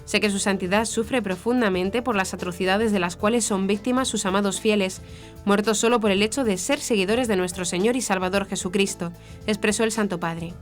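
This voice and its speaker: A woman's voice: medium pitch, fairly even intonation, a little fast. A woman reads scripted news in a formal manner. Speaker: news presenter. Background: background music.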